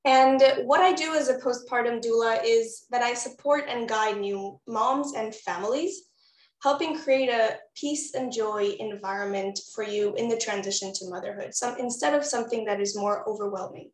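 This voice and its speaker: A woman's voice: a little high, normal speed. Echoey Israeli-born woman presenting a webinar. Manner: warm, encouraging, addresses audience directly. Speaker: postpartum doula presenter.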